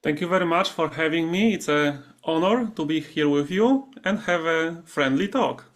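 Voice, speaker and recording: A man's voice: a little high, normal speed. A man with a Polish accent, speaking conversationally as a guest in a remote interview. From Poland. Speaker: interview guest, meditation teacher. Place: remote video call.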